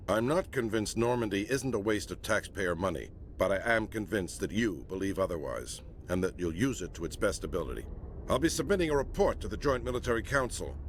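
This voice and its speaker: An actor playing a gruff, disapproving middle-aged admiral in a video game, blunt and stern in the way he talks. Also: lowish voice, a little hiss or hum. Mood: grudging, firm. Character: gruff, disapproving senior space navy admiral inspecting a warship.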